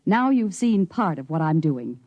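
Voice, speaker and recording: An actress playing an ambitious, coolly persuasive scientist, formal and insistent, in a 1950s radio drama. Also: female voice, lowish voice, big pitch swings, a little slow, a little mellow, no echo, muffled recording, heavily acted. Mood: earnest, persuasive.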